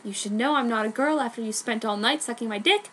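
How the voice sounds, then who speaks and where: A woman's voice: fairly high pitch, quick pace, fairly bright tone, natural voice. A woman voicing a detective's smitten, catty male sidekick with an emphatic, flustered manner. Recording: noticeable background noise, amateur recording.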